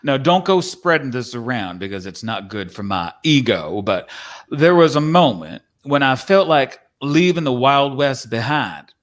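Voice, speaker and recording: Male actor as Old West cowboy, courtly, wistful, folksy phrasing, medium pitch, dramatic reading. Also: lively intonation, a little slow, natural voice. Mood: wistful confiding.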